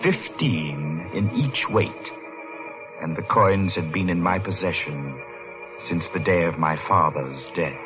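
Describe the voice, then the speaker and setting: A haunted, middle-aged clockmaker in a vintage radio mystery. His voice is very low and brooding, with a careful, hesitant manner. The recording is dull, with prominent music. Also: slow pace. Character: haunted, vengeful middle-aged London-born clockmaker, narrating his story. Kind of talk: 1940s radio mystery narration and acting.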